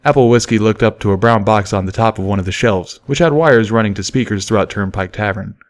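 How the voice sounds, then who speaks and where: A man's voice: on the low side, fast talker. A man reading scripted first-person fiction as an audiobook narrator. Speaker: audiobook reader.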